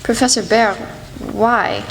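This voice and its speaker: A woman's voice: on the high side, slow, background noise. A woman acting in a student radio play as a tender, concerned middle-aged mother. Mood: gentle, concerned. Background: prominent music.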